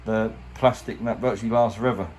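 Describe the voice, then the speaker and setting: An English man with a fairly low voice talks casually through a hands-on job. He is colloquial and plain-spoken, like someone showing his own work. The recording has clear background noise behind him. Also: fairly even intonation, fast talker, a little mellow. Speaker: boat restorer presenting a how-to. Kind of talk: casual hands-on tutorial talk.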